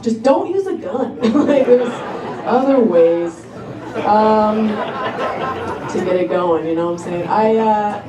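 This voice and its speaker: A young woman performing a stand-up comedy set with a laid-back, halting delivery full of ums. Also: mid-range voice. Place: comedy club stage. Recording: roomy club recording from a distance, crowd noise. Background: audience laughter.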